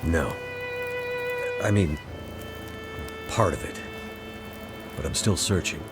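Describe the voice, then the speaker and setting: A man's voice: extremely low pitch, lively intonation, slow, loud music behind the voice, exaggerated voice. An actor playing a weary, stubborn, self-doubting man out searching the wilderness in a video game drama. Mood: weary, doubtful.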